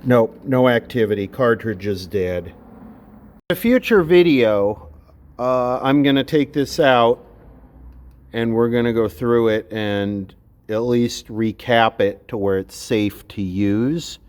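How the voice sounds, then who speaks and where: A man's voice: medium pitch, takes it slowly, darkish tone. Blunt man thinking aloud. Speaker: electronics repair video host. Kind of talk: casual unscripted workbench commentary.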